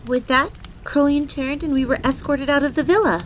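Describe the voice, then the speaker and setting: Woman playing a high-voiced, devoted fox maid. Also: a little fast, slight background noise, natural voice. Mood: indignant, aghast. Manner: theatrical, speaks of herself in third person. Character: excitable, devoted fox maid servant, speaking in third person. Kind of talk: in-character storytelling audio roleplay. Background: background ambience.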